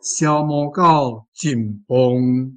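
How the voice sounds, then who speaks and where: A man with a Taiwanese accent explaining as a language teacher, hesitant and pausing, over an online link. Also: mid-range voice. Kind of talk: unscripted explanation. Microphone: remote online connection. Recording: dull remote link with faint music.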